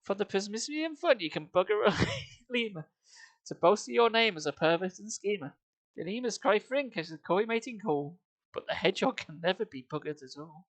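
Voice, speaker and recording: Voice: mid-range voice, expressive, normal speed, no room sound. A streamer reading comic verse live in an amused, lilting singsong, with chatty asides.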